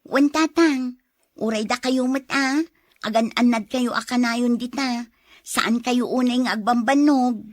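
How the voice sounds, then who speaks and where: A woman's voice: a little high, unhurried, no echo, studio quality, close to an everyday voice. An actress playing a polite, caring young woman in a radio drama, with a Filipino accent. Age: young adult.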